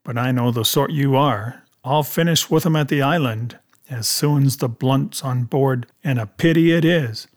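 A man's voice: medium pitch, measured pace, close to an everyday voice. A man voicing a cunning, commanding pirate cook with emphatic, rustic seafaring speech in an adventure audiobook. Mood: scornful, scheming.

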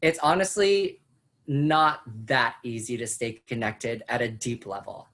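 A woman from the Philippines, a teacher whose first language is Chavacano, talks in an easy conversational way. Her voice sits very low for a woman. She fills pauses with ums and laughs often. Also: expressive. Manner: chatty, with frequent fillers and laughs. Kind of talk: casual conversation.

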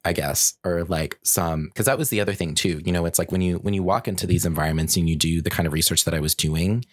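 Male podcast host, very low register, casual and reflective, dry studio-tight sound. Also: quick pace, dry recording. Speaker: podcast host and design researcher. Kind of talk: casual conversational chat.

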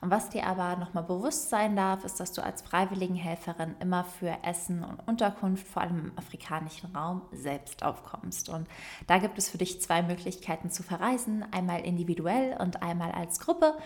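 Young female podcast host, informal direct address, slightly low voice. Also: moderate pace, a little mellow. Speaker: podcast host and volunteer travel organizer. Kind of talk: solo podcast talk to listeners.

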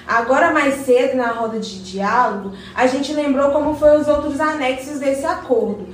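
A woman's voice: sits high, moderate pace, close to an everyday voice. Earnest younger neighbour woman actor, echoey and distant. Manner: earnest, inquiring, respectful. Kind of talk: scripted community radio drama. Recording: very echoey, distant mic, faint noise.

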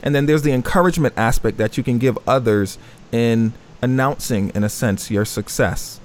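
A man's voice: mid-range voice, normal speed. A man hosting a panel talk, reflective and affirming as he sums up his guests' points. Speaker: host.

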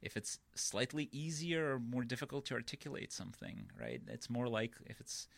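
A man's voice: medium pitch, wildly swooping pitch, moderate pace, creaky, fairly bright tone, no room sound. A man, a guest on a podcast, explaining ideas patiently and often checking in with "right?" and "you know". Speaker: guest researcher. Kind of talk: explanatory interview talk.

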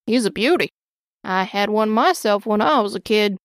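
A woman's voice: medium pitch, lively intonation, moderate pace, dry recording, studio quality, lightly acted. Woman reading a folksy friendly stranger, easygoing. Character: friendly tall man with long hair, a shopkeeper type.

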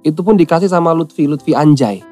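A man's voice: fairly high pitch, fast, warm tone, soft background music. A young man from Central Java telling his story in casual slangy talk and acting out the voices he quotes. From Central Java, Indonesia. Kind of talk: casual podcast chat, storytelling.

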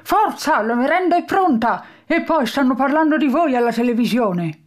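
A woman's voice: high-pitched, animated, normal speed, voice pushed up. A woman voicing an eccentric, boisterous old toothless man who lives in a junkyard, in a storybook reading. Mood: shouting. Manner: boisterous, rhyming, exclaiming.

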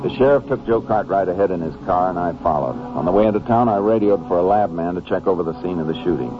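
Casting: man as calm American ranger investigator, low-pitched, rapid, clipped and factual, vintage radio drama with tinny sound. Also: over music, lightly acted. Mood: probing, terse. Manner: clipped, matter-of-fact. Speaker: lead detective and first-person narrator. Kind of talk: old-time radio drama acting. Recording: tinny, hissy old radio recording.

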